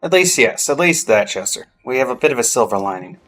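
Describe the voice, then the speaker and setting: A man's voice: medium pitch, sing-song, fast, a bit bright, soft background music. A man giving casual gameplay commentary as a streamer, thinking aloud as he goes. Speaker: game streamer.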